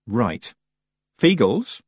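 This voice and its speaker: A man voicing a practical young girl witch who talks briskly and firmly. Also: medium pitch, sing-song, husky, dry recording, studio quality, exaggerated voice. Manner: brisk, commanding.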